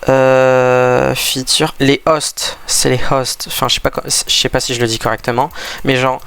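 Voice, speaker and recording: Gravelly man with a head cold, rambling. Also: medium pitch, level tone, a little slow, fairly bright tone. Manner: rambling, hesitant, many restarts. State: stuffy from a cold. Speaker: gaming video creator. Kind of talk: casual gameplay commentary.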